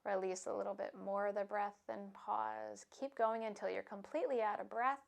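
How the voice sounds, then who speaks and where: A woman yoga instructor giving calm, soothing, unhurried cues for stretching, breathing and meditation. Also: mid-range voice, creaky.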